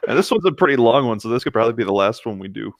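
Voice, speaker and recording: A man's voice: mid-range voice, lively intonation, very fast, no room sound. A man co-hosting a casual read-aloud, chatting and joking in a rambling, self-amused way. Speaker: co-host reading video game creepypastas aloud.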